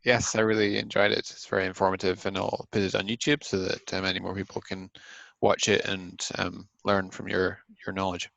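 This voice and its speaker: Gravelly-voiced man hosting a webinar. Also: sits low, flat delivery, fairly quick, fairly bright tone, no echo, highs cut off. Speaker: webinar host. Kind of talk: casual moderating. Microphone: video call.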